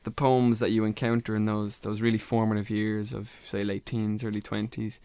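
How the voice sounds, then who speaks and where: A man's voice: lowish voice, little pitch movement, normal speed, dark tone, smooth, dry recording. A man with an Irish accent presenting and interviewing on a radio programme about poetry. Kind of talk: scripted radio introduction and conversational interviewing.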